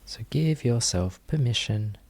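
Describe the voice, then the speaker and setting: A man leading a guided meditation as a teacher, speaking in a gentle, unhurried manner with long pauses. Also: mid-range voice, animated.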